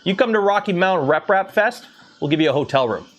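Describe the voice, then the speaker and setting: A man with a Southern Ontario Canadian accent quoting a friendly, eager event organizer in a breezy, flattering way. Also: sits high, fast, muffled recording, slight background noise, pitched above the performer's own voice. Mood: coaxing.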